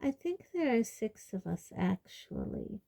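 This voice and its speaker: A woman voicing a serene, dreamy, dignified teenage witch girl in a storybook, calm and unruffled. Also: on the high side, unhurried, creaky, pitched above the performer's own voice, full character voice.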